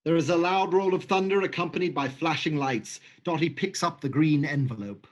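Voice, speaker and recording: A man reading stage directions aloud in an even, matter-of-fact way. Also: fairly high pitch, fairly quick, dull audio.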